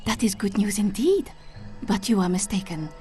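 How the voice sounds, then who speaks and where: A woman's voice: medium pitch, expressive, moderate pace, mellow, faint music behind, lightly acted. A woman playing an aloof, wistful former lover in a video game, formal and stilted. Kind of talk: video game cutscene acting.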